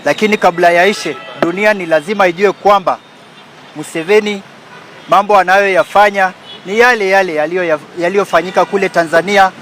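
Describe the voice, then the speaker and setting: A man speaks steadily and resolutely in a fairly high voice. Faint traffic noise sits in the background. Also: fairly even intonation, fairly quick, a bit bright. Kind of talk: street statement.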